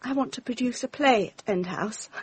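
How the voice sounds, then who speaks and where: A woman's voice: fairly high pitch, normal speed, slightly rough, rough recording. An actress playing a flighty, theatrical young society woman with an English accent in a radio drama. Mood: wistful, dramatic.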